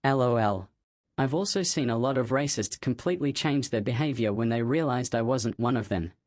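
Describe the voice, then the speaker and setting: A man's voice: medium pitch, a little mellow, no room sound, clean studio sound. A man reading aloud a first-person online story in a plain, matter-of-fact way. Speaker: narrator.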